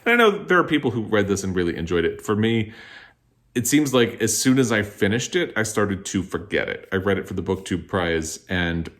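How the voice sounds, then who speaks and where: A man's voice: fairly low pitch, expressive, fairly quick, a bit bright. A man talking casually and candidly as host of a book vlog, giving his opinions in a conversational, qualified way. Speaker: book vlogger, host of the video.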